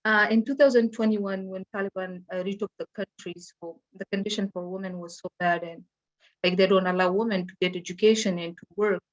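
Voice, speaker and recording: A woman from Afghanistan with an Afghan accent, telling her own story as a panelist in halting, simply phrased English. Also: mid-range voice, steady tone. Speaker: panelist sharing personal experience. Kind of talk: personal account on a virtual panel. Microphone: video call. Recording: dull, lo-fi online call audio.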